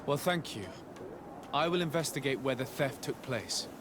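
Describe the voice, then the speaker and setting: An actor playing a polite, composed noble envoy in a video game, formal, courteous and inquiring. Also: male voice, medium pitch, expressive, normal speed, background noise, close to an everyday voice. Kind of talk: video game cutscene acting.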